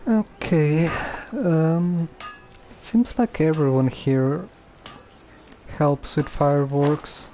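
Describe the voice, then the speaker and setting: A game streamer giving hesitant, low-key live commentary with long gaps and trailing thoughts. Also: steady tone, extremely slow, dark tone, rough recording.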